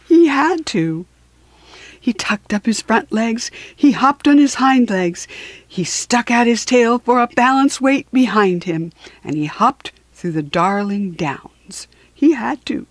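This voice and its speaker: Slow, dramatic woman storyteller. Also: on the high side, expressive, no echo. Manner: dramatic, rhythmic emphasis. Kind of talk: expressive children's storybook narration.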